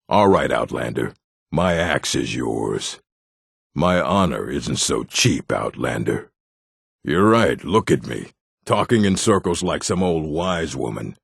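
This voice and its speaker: An actor voicing a stern, proud orc stronghold warrior in a video game, gravelly and husky, blunt and commanding. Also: male voice, a bass voice, dry recording, studio quality, heavily acted. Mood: gruff, considering. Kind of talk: video game character voice acting.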